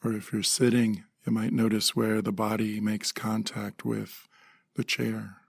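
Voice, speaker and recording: A man guides a meditation calmly, leaving pauses between short phrases. His voice is low and very husky with a lot of creak, and it stays even. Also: no room sound, studio quality. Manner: calm, gentle, measured phrasing with pauses.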